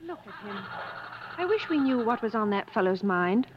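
An actress playing a proud, headstrong English wife on an expedition, haughty and clipped, in 1950s radio drama style. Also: female voice, a little high, big pitch swings, normal speed. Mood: wondering. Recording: muffled, noisy old radio broadcast.

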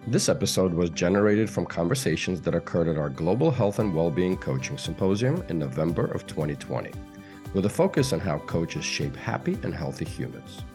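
A man's voice: low voice, very animated, brisk. A man reading a scripted podcast introduction as an announcer. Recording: music bed behind voice.

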